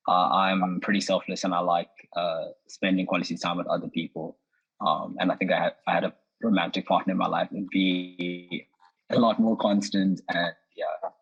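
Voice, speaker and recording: An eighteen-year-old man, a panel guest answering in a measured, thoughtful way. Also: deep voice, flat delivery, gravelly, a bit bright, slightly rough. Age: teens. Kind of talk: casual group discussion. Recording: dull muffled audio.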